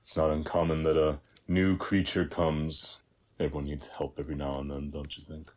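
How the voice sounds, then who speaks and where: Man acting very deep, husky, weary warrior. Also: level tone, darkish tone. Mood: tired, gentle. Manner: measured, reflective, pausing between short phrases. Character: weary, battle-hardened male warrior of Ares, bereaved father. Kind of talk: scripted audio roleplay addressed to the listener.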